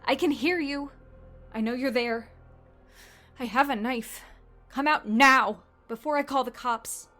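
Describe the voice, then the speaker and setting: A woman's voice: high-pitched, a little slow, bright, forceful tone, dry recording, faint music behind. A woman playing a wounded, sardonic narrator who recalls her schoolgirl self in a confessional first-person audio drama. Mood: jumpy, alarmed.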